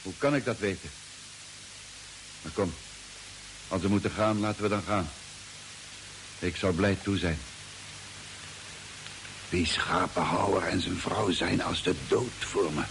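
Radio drama: testy, confused castaway spaceman, sure of himself, lower register, heavy vintage hiss. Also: steady tone, unhurried, pauses often, a bit bright. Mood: calmly deluded. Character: confused, irritable stranded spaceman, deluded but self-assured. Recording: old hissy, noisy radio recording.

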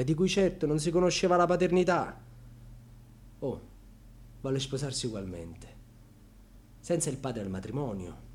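Proud, hurt grandson, radio drama monologue; husky, highly dynamic delivery from hushed confiding to fierce. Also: male voice.

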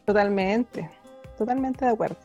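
A woman's voice: mid-range voice, moderate pace, darkish tone, dry recording. A woman in casual, agreeable chat as a podcast co-host. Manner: agreeable, affirming. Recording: dull lo-fi audio, music bed.

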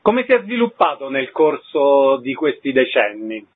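A man hosting a radio programme, reading announcements and putting questions in a measured announcer's manner. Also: medium pitch, sing-song, breathy edge, phone-quality sound. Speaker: radio host. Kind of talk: scripted radio announcing and interviewing.

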